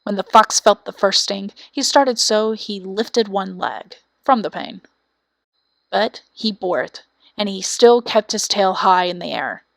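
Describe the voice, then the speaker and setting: A woman's voice: mid-range voice, measured pace, creaky, no room sound. A woman reading a scripted children's fairy tale as an audiobook narrator.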